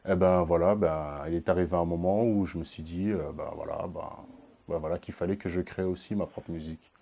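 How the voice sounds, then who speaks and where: Deep, mellow-voiced man, hesitant. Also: steady tone, fairly quick, gravelly. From French Guiana. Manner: hesitant, many fillers like "voilà" and "on va dire". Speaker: music producer and painter. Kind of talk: casual interview answers.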